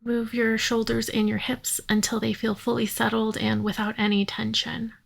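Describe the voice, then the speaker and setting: A woman guides a relaxation meditation in a fairly high voice. Her delivery stays extremely flat and level, with a creaky edge. She is soothing and unhurried, leaving long pauses between instructions. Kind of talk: guided yoga nidra meditation.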